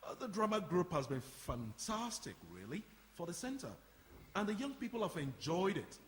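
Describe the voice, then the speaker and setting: A man's voice: high-pitched, big pitch swings, normal speed, fairly bright tone. An actor playing a courteous, practical community centre man who explains things politely in a radio play. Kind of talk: radio drama acting before an audience. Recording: reverberant hall, faint background noise.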